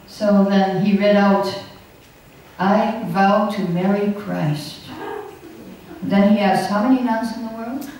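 A woman's voice: mid-range voice, steady tone, slow, darkish tone, slight background noise. An older woman giving a chatty, anecdotal scripture class at a podium mic, often laughing as she teaches. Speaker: class speaker. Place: hall, indoors. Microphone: podium mic on stand. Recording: echoey hall, recorded from a distance.

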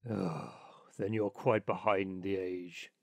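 A self-important water rat from a storybook, played by a man reader, holding forth in a lowered voice. He is opinionated and pompous, a creature sure that he knows best. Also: steady tone, slow pace, darkish tone, dry recording, clean studio sound. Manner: pompous, know-it-all.

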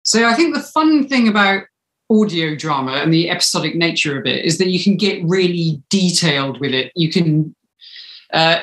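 A woman, chatting as an interview guest on a video call. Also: sits low. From United Kingdom. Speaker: interview guest, audio drama writer. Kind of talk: casual interview chat. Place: living room, indoors. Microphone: video call, computer mic at arm's length. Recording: echoey room.

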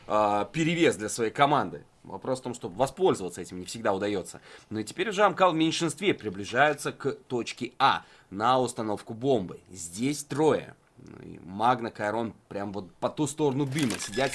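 A man's voice: medium pitch, a bit bright. A man giving live esports commentary in a conversational way, reasoning aloud as he analyses play. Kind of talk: live Counter-Strike commentary with casual analysis.

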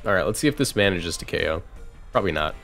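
A man in his twenties giving casual live game commentary, chatting and thinking out loud as he plays. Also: low voice, lively intonation, quick pace, creaky, over music. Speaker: streamer.

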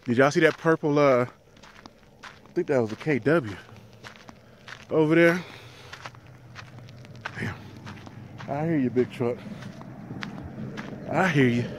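A man in his thirties with a slightly high voice, drawling slowly as he films himself outdoors. He chats loosely to his viewers, laughs easily and talks straight into a phone held at arm's length. Faint wind and passing trucks hiss in behind him. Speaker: truck driver vlogger. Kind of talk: casual handheld vlog. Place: outdoors in an empty desert town by a highway.

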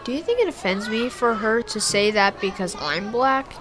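A man's voice: high voice, expressive, normal speed. An actor playing an earnest, troubled young boy in an audio drama, speaking hesitantly.

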